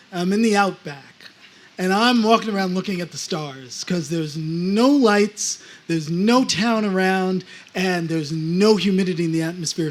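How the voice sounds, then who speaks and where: A man from the United States, a panelist author, telling a story animatedly on a live panel and acting out other people's voices. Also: sits high.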